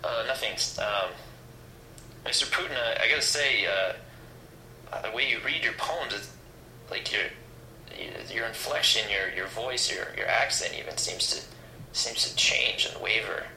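A man's voice: fairly low pitch, a little slow, pauses often, pushed and bright. A man playing a nervous interviewer who stammers and hedges through his questions in a comedy sketch. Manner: hesitant, stammering, nervous asides. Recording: roomy echo, faint background hum.

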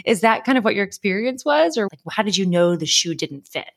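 A woman's voice: mid-range voice, animated, brisk. Chatty woman podcast host. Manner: upbeat, chatty, laughs often. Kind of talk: podcast intro and interviewing.

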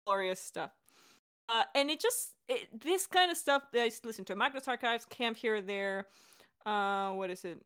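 A woman with a fairly high voice chats casually as a director answering questions. She is enthusiastic and giggly, talking off the cuff as she hosts. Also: lively intonation, moderate pace, fairly bright tone, clean tone. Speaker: audio drama director and voice actor, hosting a Q&A. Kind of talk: casual unscripted Q&A chat.

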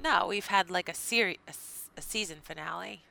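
Bright, gravelly-voiced woman host. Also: on the low side, rough recording. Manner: chatty, animated, quick laughs. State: slightly scratchy, tired voice. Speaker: podcast co-host. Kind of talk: casual chat.

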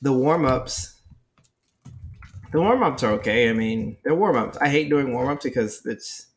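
Young male co-host, nasal tone, mid-range pitch, self-deprecating, loose conversational delivery. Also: lively intonation, a little slow. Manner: self-deprecating, talks in restarts. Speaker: podcast co-host. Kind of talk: casual chat.

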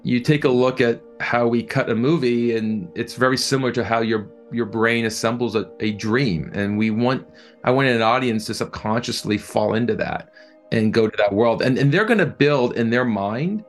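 A man answering interview questions as a producer, speaking thoughtfully and conversationally, often halting and repeating words. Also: medium pitch, soft background music.

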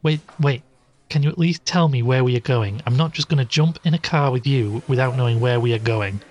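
A man acts as an inquisitive male podcaster in a horror audio drama. He has a mid-pitched voice and tells his investigation in the first person, careful and questioning. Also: fairly quick, darkish tone, close to an everyday voice. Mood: wary, insistent.

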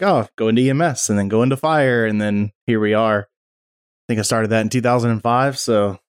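A friendly man hosts a podcast interview with an easy, chatty flow. His voice sits at a middle pitch. The sound is dry and close, with no room echo. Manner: friendly, chatty, reads ad copy smoothly. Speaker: podcast host. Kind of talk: casual interview chat.

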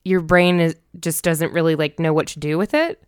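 A woman's voice: low voice, normal speed, clear, resonant tone, no echo, studio quality. A woman co-hosting a podcast in casual, informal chat with some swearing. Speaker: podcast co-host.

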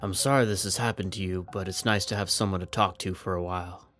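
A man acting as a young army corporal with a fairly low voice. He sounds stoic and resigned, matter-of-fact with a wry edge, in an audio drama with music underneath. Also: steady tone, fast talker, a bit bright. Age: young adult. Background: background music.

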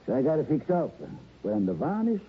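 An actor playing an emotional Italian immigrant violin repairman in an old radio drama, with a strong Italian accent and halting, exclamatory broken English. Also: a little high, sing-song, husky. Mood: fussing, grumbling. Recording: muffled, noisy old radio recording.

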